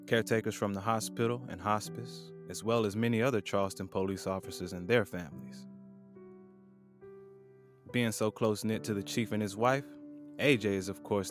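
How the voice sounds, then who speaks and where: A man narrating an audio drama in a measured, solemn way. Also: fairly low pitch.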